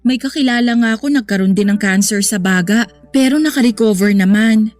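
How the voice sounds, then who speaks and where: A woman's voice: medium pitch, brisk, a little mellow, faint music behind, natural voice. An actress playing a caring, sensible young woman in a radio drama, speaking earnestly and reassuringly. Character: caring, sensible young woman consoling her troubled boyfriend.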